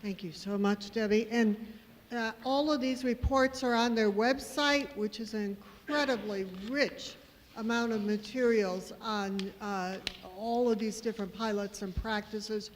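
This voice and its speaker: A woman's voice: on the high side, fairly even intonation, a little slow. A woman moderating a panel, introducing speakers formally. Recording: reverberant hall, faint noise.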